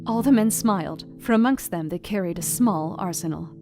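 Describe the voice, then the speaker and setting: Actress as clever young Victorian wife narrating a journal: composed, exact, formal, analytical. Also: female voice, lowish voice, dark tone, faint music behind, natural voice. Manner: measured, precise, formal and analytical. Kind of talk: dramatized scripted journal narration.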